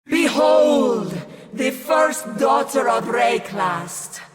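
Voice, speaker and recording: A woman playing a solemn, zealous nature priestess in a fantasy video game, speaking in a formal, deliberate, theatrical way. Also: sits high, husky, no room sound, faint music behind, heavily acted. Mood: grand, commanding.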